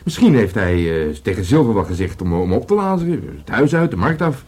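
A blunt police sergeant in a radio drama, eager to make an arrest. He talks fast in a low, husky voice, stumbling and repeating himself. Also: big pitch swings, a little mellow. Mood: blunt, insistent. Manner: hesitant, stammering, repeats words. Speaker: actor in a radio play. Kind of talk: Dutch radio play acting.

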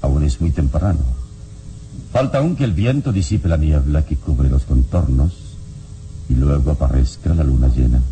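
An actor playing a calm, wise adventurer hero in a radio serial, speaking in a measured, solemn and reassuring way. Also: male voice, extremely low pitch, a little hiss or hum, low-quality recording. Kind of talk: dramatic radio serial acting.

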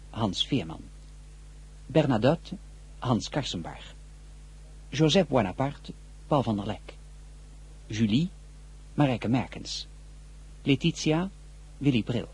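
Radio announcer, man, formal scripted credit read, markedly breathy-rough voice, mid register. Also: medium pitch, a little mellow.

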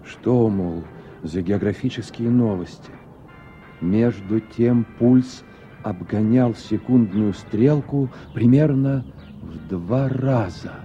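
Guy narrating old radio drama, low and really soft dark voice, faint music behind. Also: slow pace, highs cut off. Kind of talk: scripted literary narration.

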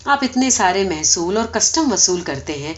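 A woman's voice: medium pitch, very animated, lightly acted. A woman voicing an earnest, persistent talking donkey who pleads respectfully and argues back in a story reading. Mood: insistent, indignant. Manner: pleading, deferential yet argumentative.

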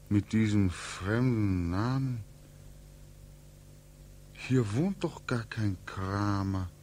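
An actor playing a homesick, brooding young man back from war in a 1940s radio drama, with halting, repetitive, anguished speech. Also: medium pitch, fairly bright tone. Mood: agitated dismay. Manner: halting, repetitive, swinging into agitated questioning. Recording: 1940s radio recording, music behind.

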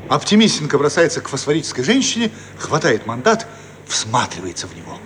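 An actor narrating the stage directions of a satirical radio comedy in an expressive, measured storytelling manner. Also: male voice, medium pitch. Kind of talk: scripted dramatic narration. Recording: old radio recording, long reverb, clear noise.

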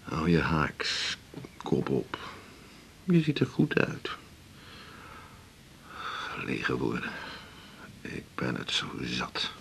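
An actor playing a weary, brooding hospital doctor in a radio drama, with a sardonic, worn-out manner. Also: male voice, a bass voice, wildly swooping pitch, drawn-out words, creaky, pauses often, a bit bright, slight background noise, exaggerated voice.

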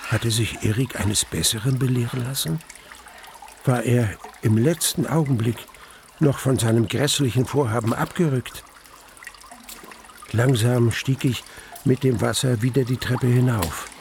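Casting: grave, creaky-voiced narrator of a dark radio drama, deliberate and suspenseful, faint ambience behind. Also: male voice, medium pitch, a little hiss or hum. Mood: tense, awed. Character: grave male narrator recalling his mortal terror in a cellar. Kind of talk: dramatic first-person radio play narration.

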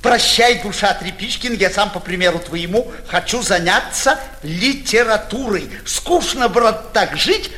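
An actor playing a breezy, self-important young idler, affected and declamatory in a 1940s stage style. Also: male voice, high-pitched, animated, a little slow, hardly any pauses, bright, forceful tone, heavily acted. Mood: smug, breezy. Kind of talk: 1940s Russian stage acting, letter monologue.

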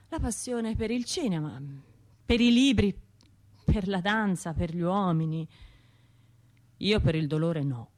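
An actress playing an anguished, guilt-ridden Tuscan woman survivor in a radio drama monologue, halting and arguing with herself. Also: mid-range voice, darkish tone. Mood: hesitant, searching. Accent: Tuscan Italian. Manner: halting, self-questioning, argues with herself.

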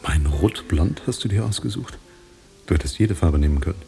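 A man's voice: low voice, expressive, normal speed, creaky, over music. An actor playing a wry, brooding man in radio drama dialogue, speaking in a dry, understated way. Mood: wry, teasing. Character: wry, brooding man teasing his old girlfriend.

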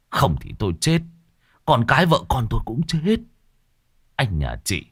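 A man doing a gruff old servant, low-voiced. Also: dry recording, studio quality, full character voice. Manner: sneering, then hushed and whispering. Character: older male servant, scornful then fearful.

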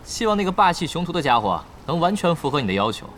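A proud, intense young gamer captain for an audio drama. His voice sits somewhat high and moves around a lot, with a dry sardonic edge. Music plays clearly behind him. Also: sing-song, quick pace, fairly bright tone. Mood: cool, confident. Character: proud, driven young male esports player and team captain. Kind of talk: Mandarin audio drama acting. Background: prominent background music.

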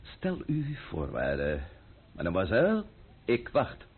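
An actor playing a pompous, stout French count and politician, blustering and formal, in a Dutch radio play. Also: male voice, fairly low pitch, huge pitch swings, slow, breathy edge, heavily acted. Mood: resigned. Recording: narrow-band, muffled old radio recording.

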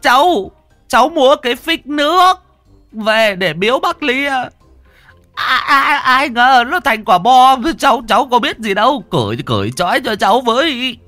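A man voicing a panicky, bumbling young man who stammers and wails in a high whimper. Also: sing-song, a little slow, pushed and bright, no room sound, soft background music, full character voice. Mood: pleading.

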